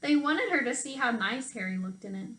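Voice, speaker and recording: A woman reading a picture book aloud to children in an animated, expressive storytime style. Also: on the high side, fairly quick. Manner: expressive, emphasizes phrases for children. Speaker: storytime reader for children, teacher.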